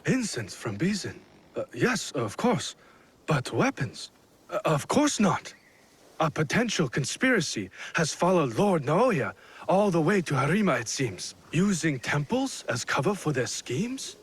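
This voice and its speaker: An anxious, deferential lord of feudal Japan in a game. The voice is raspy and breathy, fairly high, and rises and falls sharply as he stammers through polite, formal speech. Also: very animated, measured pace, heavily acted. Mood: flustered. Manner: stammering, formal, eager to please. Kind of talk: video game cutscene acting.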